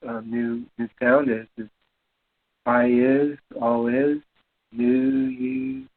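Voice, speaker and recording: A hesitant speaker talks in short broken phrases, very slowly, with a creaky voice. The sound is narrow and phone-like. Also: medium pitch, level tone.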